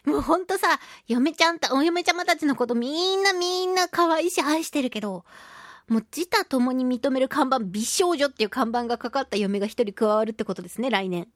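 A woman's voice: high-pitched, expressive, fairly quick, no room sound, clean studio sound. A woman hosting a radio talk show, chatting casually in a playful, cutesy, effusive way. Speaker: radio show host, voice actress.

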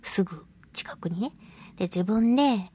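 A woman hosting a solo radio show, chatting in an animated, self-deprecating storytelling manner. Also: medium pitch, measured pace, husky. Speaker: radio show host and voice actress.

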